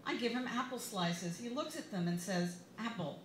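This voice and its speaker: An American woman, an author giving an expressive live reading of her memoir in a wry manner. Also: a little high, normal speed. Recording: roomy, echoey bar with background noise.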